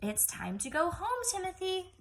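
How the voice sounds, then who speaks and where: A woman's voice: very high voice, very animated, moderate pace, fairly bright tone, slight background noise. A woman teacher reading aloud to young children in an exaggerated, singsong storytelling manner, often putting questions to them.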